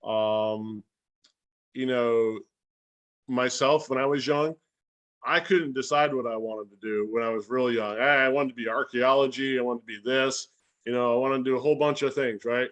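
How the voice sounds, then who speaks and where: A man's voice: medium pitch, normal speed, highs cut off. A man giving a casual, explanatory talk as a guest educator, informal and folksy, often acting out imagined bits of dialogue. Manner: animated, acts out imagined dialogue. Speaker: guest speaker and science educator.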